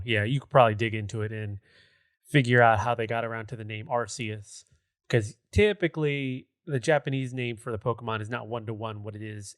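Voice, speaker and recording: A man's voice: medium pitch, no room sound, clean studio sound. A man co-hosting a gaming podcast, chatting casually in a conversational, rambling way. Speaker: podcast co-host.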